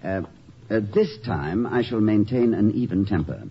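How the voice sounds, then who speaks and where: A man's voice: fairly low pitch, animated, moderate pace. An old, muffled radio recording of a middle-aged college president. His delivery swoops around expressively, learned and wry, with hesitant hems and haws and a blustery streak. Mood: courteous, resolute. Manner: wry, articulate, hesitant hemming, then blustery. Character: witty, indignant, erudite male college president. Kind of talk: 1950s radio comedy acting. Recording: muffled, faintly noisy 1950s radio recording.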